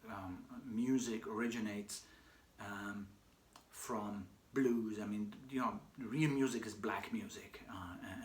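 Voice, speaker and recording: A man who grew up speaking Hungarian and German, talking casually about himself, with many pauses, fillers and restarts. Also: fairly low pitch, a bit bright. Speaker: shop owner answering questions. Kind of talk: casual personal monologue. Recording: echoey room with faint background noise.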